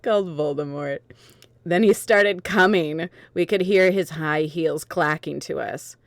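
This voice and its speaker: A woman's voice: deep voice, unhurried, no echo. A woman narrating a comic dramatic reading of fan fiction, with casual asides, as host.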